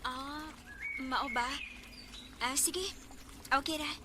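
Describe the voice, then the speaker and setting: A friendly young woman from the office with a Cebuano Filipino accent. Her voice is high and helpful, acted for radio drama, with clear background noise. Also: extremely slow.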